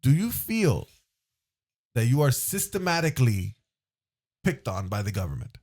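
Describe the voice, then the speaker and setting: A man's voice: medium pitch, very animated, moderate pace, a little mellow. A man hosting a casual podcast, teasing his guests and asking pointed questions. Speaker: host.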